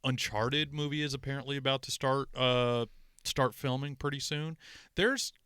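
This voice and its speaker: Bright, forceful man chatting casually. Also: mid-range voice, moderate pace, smooth, dry recording, studio quality. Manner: rambling, animated, sweary. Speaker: podcast co-host. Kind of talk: casual opinionated film and game chat.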